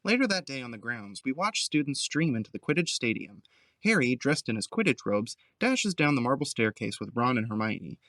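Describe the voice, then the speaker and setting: A man's voice: medium pitch, sing-song, a little fast, a bit bright, no room sound. Dry, close-sounding male narrator. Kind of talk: scripted audio drama narration, present tense.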